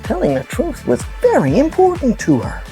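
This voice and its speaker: A woman's voice: sits high, wildly swooping pitch, moderate pace, warm tone, faint music behind. A woman playing an earnest, affectionate puppet news presenter, explaining stories simply in a scripted children's show. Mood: enthusiastic. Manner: clear storytelling, explains simply.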